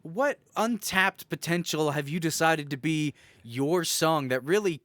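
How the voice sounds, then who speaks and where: A man's voice: on the high side, a little slow, a bit bright, dry recording. A young man hosting a podcast with casual banter and a slangy, sweary way of talking. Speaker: podcast host.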